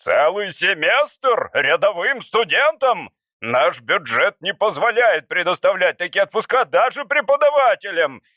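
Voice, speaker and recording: A man's voice: sits high, very animated, unhurried, bright, forceful tone, dry recording, studio quality, voice pushed up, exaggerated voice. Pompous male director with a speechmaker's ring, read by a man. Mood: commanding. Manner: oratorical, commanding.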